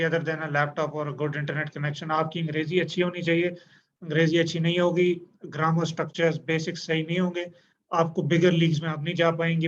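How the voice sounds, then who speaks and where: A man hosting a casual online interview, chatty and agreeable, with joking asides. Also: fairly high pitch. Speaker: host. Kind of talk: casual Urdu-English interview. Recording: dull lo-fi sound with faint noise.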